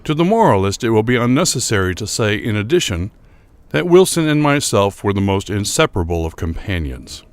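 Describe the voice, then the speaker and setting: A man giving a dramatic first-person literary narration for a horror audio drama, with formal, measured phrasing. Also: lowish voice, big pitch swings, no echo.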